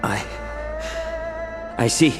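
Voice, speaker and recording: An actor playing a devoted, troubled male healer in a video game, earnest and halting, in long reverb. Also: music in the background. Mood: shaken concern. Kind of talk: dramatic video game voice acting.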